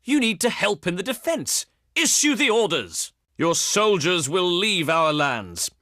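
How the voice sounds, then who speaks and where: Haughty pious greek diplomat for a video game, theatrical and formal, swooping delivery, very dry close sound. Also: male voice, high voice, very animated, measured pace, sharp tone, dry recording, exaggerated voice. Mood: urgent, goading. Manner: formal, oratorical, pompous. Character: proud, pious ancient Greek male diplomat, eloquent and haughty. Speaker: game character voice actor. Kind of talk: theatrical scripted game dialogue.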